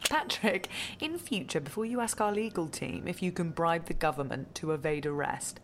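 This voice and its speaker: An actress playing a brisk, exasperated head of communications, curt and dry, in a naturalistic comedy drama. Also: female voice, on the low side, lively intonation, a little hiss or hum. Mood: exasperated firm.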